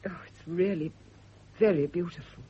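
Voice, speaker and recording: A woman's voice: fairly low pitch, close to an everyday voice. An actress playing a fervent, lovesick woman who confesses hidden passion, in a theatrical classic radio drama style. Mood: polite, tentative. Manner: fervent, breathy pauses, theatrical intensity. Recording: dull old radio recording, faint hiss.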